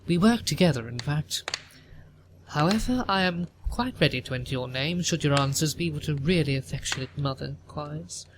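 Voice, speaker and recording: A man's voice: fairly high pitch, moderate pace, background noise, close to an everyday voice. A man playing a haughty, pompous older upper-class mother in a theatrical audio drama, questioning and disapproving. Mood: imperious, interrogating.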